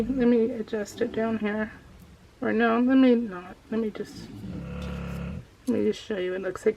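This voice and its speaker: A woman's voice: fairly high pitch, a little mellow. A woman hosting a casual craft vlog, chatting off the cuff in a rambling, gushing way and often interrupting herself. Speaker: craft video host. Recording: dull lo-fi home recording, faint noise.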